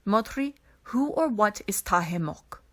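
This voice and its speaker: A woman's voice: medium pitch, animated, measured pace. Woman voicing a sober, steadfast soldier. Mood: gentle, serious. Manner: gentle, serious. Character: sober military man, steadfast soldier and leader.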